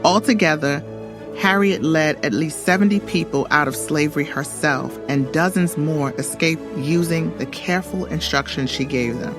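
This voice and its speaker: A woman's voice: low voice, moderate pace. A woman reading a scripted story for children as its narrator. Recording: music under the voice. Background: background music.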